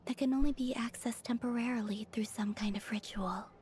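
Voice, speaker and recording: An actress voicing a gentle, courteous young woman in a game, speaking softly and politely. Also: a little high, steady tone, fairly quick, slightly rough, no echo.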